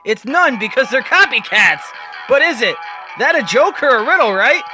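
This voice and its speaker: A man's voice: very high voice, sing-song, normal speed, music in the background. A man voicing a drunk at a bar, rambling and repeating himself. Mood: drunken musing. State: acted drunkenness.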